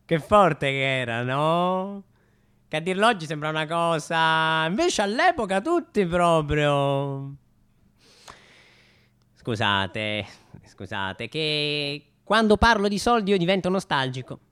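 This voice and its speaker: Guy late 20s doing a comedy monologue, really lively swings in pitch, theatrical, kinda mock nostalgic. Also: takes it slowly, clean tone. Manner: theatrical, mock-nostalgic, hesitant repetitions and rhetorical questions. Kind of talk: satirical radio comedy monologue.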